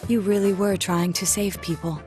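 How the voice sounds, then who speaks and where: A woman playing a composed, analytical teenage detective in a video game, with measured, formal and precise speech. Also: mid-range voice, level tone, warm tone, faint music behind, lightly acted. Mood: sober, respectful. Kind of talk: English game voice acting.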